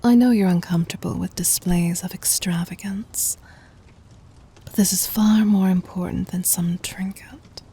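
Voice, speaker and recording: A woman playing a regal, adoring demon queen in an intimate ASMR roleplay, whispery and breathy, speaking formally with tender command. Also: fairly low pitch, fairly even intonation, measured pace, dark tone, full character voice. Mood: regal, doting, composed.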